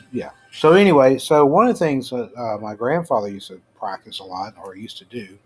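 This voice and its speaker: A middle-aged American man giving casual, halting news commentary as a host, informal and prone to trailing off. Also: mid-range voice, fairly bright tone. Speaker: host of a personal rant show. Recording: clearly noisy amateur home recording.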